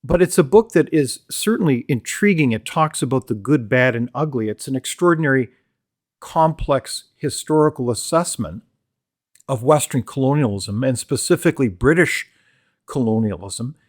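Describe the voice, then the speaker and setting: A man's voice: mid-range voice, animated, moderate pace, dry recording, studio quality. A man hosting an interview, asking formal, admiring questions with a few stumbles. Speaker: interview host.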